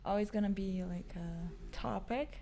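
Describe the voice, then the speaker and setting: Soft-spoken young woman. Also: mid-range voice, unhurried, creaky, slight background noise. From Brazil. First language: Portuguese. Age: 20s. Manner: soft-spoken, modest, giggly. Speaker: guest, host's partner. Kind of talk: casual relationship chat.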